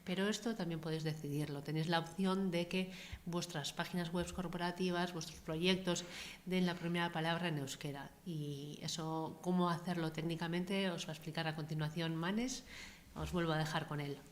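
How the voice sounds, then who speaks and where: A woman's voice: lowish voice, fairly even intonation, moderate pace, slight background noise. A woman presenting in a webinar, explaining steadily with a few fillers, over a video call. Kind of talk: informative webinar talk.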